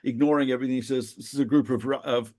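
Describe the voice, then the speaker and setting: A middle-aged man who grew up in Australia, co-hosting a podcast and telling lively anecdotes in a casual conversation. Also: medium pitch, racing. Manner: animated storyteller, laughs at his own anecdotes. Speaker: podcast co-host, rabbi.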